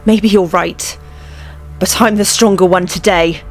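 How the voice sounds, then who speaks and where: A woman's voice: medium pitch, steady tone, faint music behind. A woman playing a proud, resolute young warrior queen in a fantasy fandub, speaking firmly and solemnly. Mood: cold resolve. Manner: firm, solemn, deliberate. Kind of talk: fantasy audio drama fandub acting.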